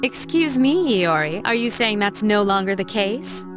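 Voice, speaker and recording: A woman playing a composed, stern and reserved upperclasswoman in a video game, speaking formally and with measured words. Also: medium pitch, very animated, music in the background, close to an everyday voice. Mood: icily offended.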